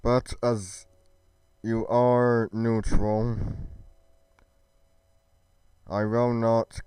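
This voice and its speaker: Man acting a slow, stern, declaiming god. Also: medium pitch, flat delivery, mellow, clean tone, no echo, studio quality. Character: stern, righteous god scolding his divine wife.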